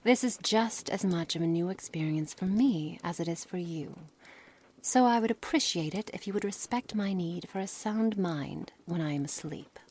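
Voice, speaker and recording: A woman playing a coolly menacing, scholarly witch in an ASMR roleplay, speaking softly in formal, measured words with breathy, hushed passages. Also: fairly low pitch, natural voice. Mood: clinical, musing. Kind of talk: ASMR roleplay dramatic monologue.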